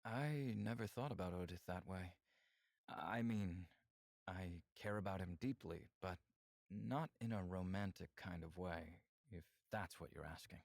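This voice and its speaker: Visual novel alien, protective and earnest, measured delivery, low with a gravelly edge, close dry sound. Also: male voice, darkish tone, dry recording, exaggerated voice. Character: calm, caring male alien, protective symbiont host.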